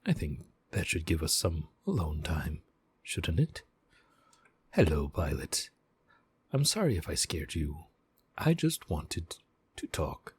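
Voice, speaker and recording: A man voicing a gentle, devoted sentient mech AI in a slow, measured audio roleplay, speaking directly to the pilot. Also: a bass voice, sing-song, husky, exaggerated voice. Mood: gentle, reassuring. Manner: measured, deliberate, reassuring, with pauses. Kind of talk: slow scripted audio roleplay, addressing the listener.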